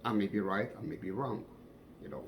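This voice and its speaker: Low gravelly man from Egypt, halting. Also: slight background noise. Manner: halting, many fillers, non-native grammar. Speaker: fencing coach sharing opinions. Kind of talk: casual talk to camera. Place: at home.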